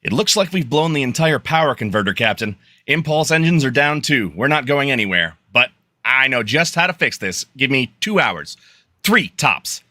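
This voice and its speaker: An easygoing male chief engineer, played by a man in a sci-fi radio play. His mid-pitched voice is bright and animated, with a practical, casual delivery. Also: moderate pace, no echo, clean studio sound. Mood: confident. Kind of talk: radio play live read.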